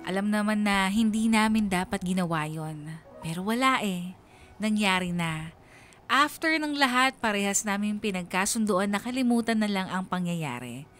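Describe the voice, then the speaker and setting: A young woman, played by an actress tells her story in a confessional first-person style. Her voice is mid-pitched, with faint music underneath, as in a radio drama. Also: lively intonation, moderate pace, a bit bright, lightly acted. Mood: regretful, recounting. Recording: music bed behind the voice.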